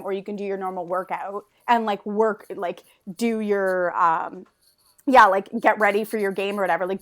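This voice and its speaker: A woman in her thirties whose first language is French, talking casually as a podcast guest in a rambling, bubbly way full of "like". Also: medium pitch. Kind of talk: casual interview chat.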